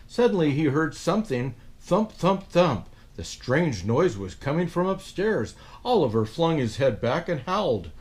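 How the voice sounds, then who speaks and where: A man reading a picture book to children, with a voice that sits a little high and swings widely in pitch. He reads in an animated storytime way and stops now and then for playful asides to his young listeners. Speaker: storyteller in a Santa persona.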